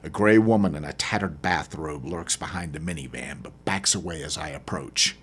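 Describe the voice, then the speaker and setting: A man's voice: extremely low pitch, moderate pace, a bit bright, breathy edge. A man narrating a first-person novel as its author, in a dramatic audiobook style.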